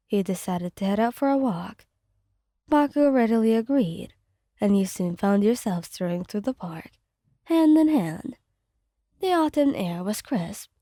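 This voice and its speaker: A woman reading scripted fan fiction as soft ASMR narration, with a gentle, soothing delivery. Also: on the high side, no room sound, clean studio sound.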